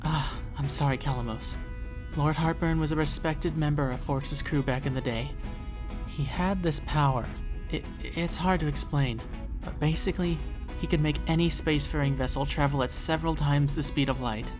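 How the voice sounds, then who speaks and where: Cautious female crewmate, actress, low voice, earnest and measured, music underneath. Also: natural voice. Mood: worried, earnest. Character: earnest, cautious female space pirate crew member. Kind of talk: scripted audio drama.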